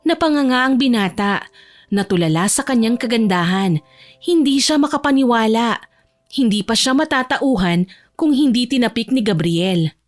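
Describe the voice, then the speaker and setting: A woman's voice: on the high side, lively intonation, normal speed, dry recording. A woman reading scripted romantic story narration as the narrator of an audio drama. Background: soft music.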